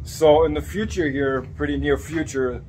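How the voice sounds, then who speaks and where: Raspy-voiced man vlogging in a workshop. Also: on the high side, normal speed, a little mellow, slight background noise, poor audio. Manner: chatty, explaining as he points around. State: raspy from coughing. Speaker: farm vlogger. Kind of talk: casual vlog to camera. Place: cluttered indoor workshop. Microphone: phone camera at arm's length.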